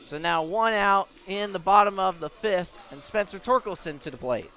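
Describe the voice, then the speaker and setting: High-voiced American man calling baseball. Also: moderate pace, sharp tone. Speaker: baseball play-by-play announcer. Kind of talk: live radio play-by-play commentary. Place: at a baseball stadium.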